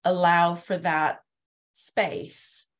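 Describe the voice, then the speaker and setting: A woman's voice: lowish voice, little pitch movement, very slow. A woman in her forties hosting a thoughtful panel discussion. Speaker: host, moderator. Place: living room, indoors. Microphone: video call, laptop.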